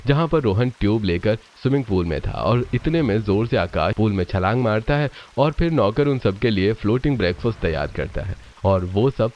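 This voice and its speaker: A man's voice: on the low side, darkish tone, lo-fi sound. A man narrating a scripted cartoon family story.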